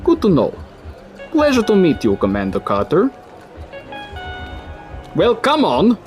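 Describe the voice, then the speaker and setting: A man's voice: high-pitched, huge pitch swings, unhurried, darkish tone, soft background music, heavily acted. A man playing a chatty, folksy local man. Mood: friendly. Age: 30s. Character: friendly male city dweller, folksy and helpful. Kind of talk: audio drama acting.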